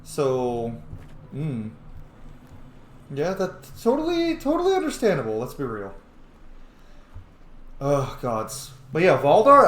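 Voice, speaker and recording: A man's voice: on the high side, echoey room. A man hosting a gaming video with casual, sweary roleplay commentary, speaking his character's thoughts aloud.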